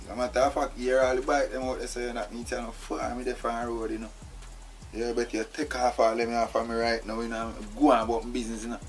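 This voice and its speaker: A man's voice: medium pitch, lightly acted. Man playing a tied-up Jamaican street guy. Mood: irritated. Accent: Jamaican Patois. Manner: repeats "you know", irritable.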